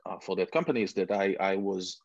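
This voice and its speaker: Israeli man in his forties, husky and a touch low, dry close sound, rambling self-mocking storyteller. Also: brisk, no room sound. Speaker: guest entrepreneur. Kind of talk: casual interview chat. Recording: dull muffled lo-fi sound.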